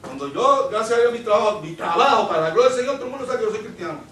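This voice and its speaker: A Puerto Rican man delivers an emphatic sermon in a high voice. He repeats his phrases and throws questions out to the congregation. The sound is roomy and echoing, picked up some way from the microphone. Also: animated, rapid-fire, fairly bright tone, a little hiss or hum. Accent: Puerto Rican Spanish. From Puerto Rico. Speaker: preacher. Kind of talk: Pentecostal Bible-study sermon. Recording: roomy echo, distant microphone.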